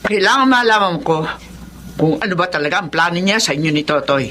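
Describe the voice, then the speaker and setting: A woman's voice: on the low side, lively intonation, brisk, pushed and bright, slight background noise. A woman playing a protective, stern middle-aged mother in a Filipino radio drama, speaking in a firm, admonishing way. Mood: concerned, firm.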